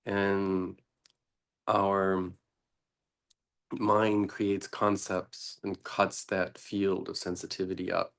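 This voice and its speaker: Very slow, low-voiced man guiding meditation. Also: flat delivery, vocal fry, fairly bright tone. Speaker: meditation teacher. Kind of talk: unscripted guided meditation.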